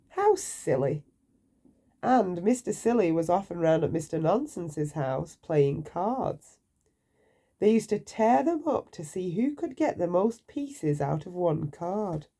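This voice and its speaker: A woman's voice: fairly low pitch, dark tone. A woman reading a children's bedtime story aloud, playful, putting questions to the listener.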